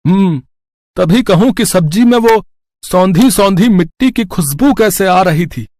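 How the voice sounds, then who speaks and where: A man voicing a gentle, hopeful village husband and father in a cartoon moral story. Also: high voice, animated, unhurried, dry recording, studio quality. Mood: delighted.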